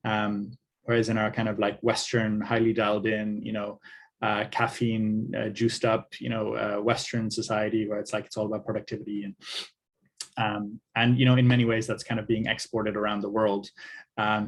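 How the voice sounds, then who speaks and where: A man's voice: lowish voice, slightly rough. A man who grew up in Africa, hosting a podcast and talking in a hesitant, searching way with plenty of fillers. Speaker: podcast host. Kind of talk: casual conversation.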